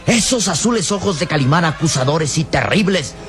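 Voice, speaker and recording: A man's voice: a little high, lively intonation, normal speed. A man narrating an old radio serial from a script, in a solemn, theatrical, declamatory manner. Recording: radio serial with prominent background music.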